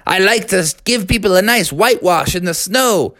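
A man's voice: high-pitched, expressive, normal speed, sharp tone, no room sound, studio quality, voice pushed up. Man voicing a crazy, theatrical living snowman. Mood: mischievous. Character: crazy, boastful living snowman, mischievous trickster.

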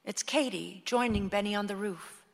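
An actress as the composed narrator of a radio play, reading scripted stage directions. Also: female voice, mid-range voice, normal speed, vocal fry. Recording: long hall reverb.

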